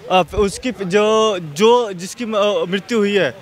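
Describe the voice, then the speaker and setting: Halting, high-pitched male street interviewee. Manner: halting, circles back over phrases. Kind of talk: casual opinion in street interview.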